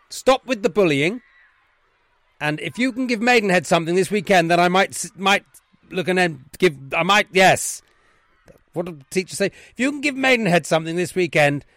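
A man acts out a stern headmaster telling off boys in a comedy sketch. The voice is loud, pushed high and emphatic, repeating points indignantly. The recording is dry. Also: dry recording. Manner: loud, emphatic, scolding, repetitive.

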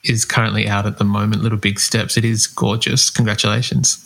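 A man hosts a casual interview with a low voice that has a gravelly edge. He rambles and pauses to search for his words. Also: flat delivery, fairly bright tone.

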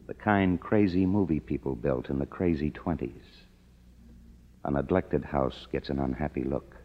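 An actor playing a world-weary Hollywood writer, narrating tersely and wryly in a 1950s radio drama. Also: male voice, very deep voice, normal speed. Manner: terse, wry. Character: world-weary male hard-boiled Hollywood writer narrating his downfall. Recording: dull old radio recording. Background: dramatic music.

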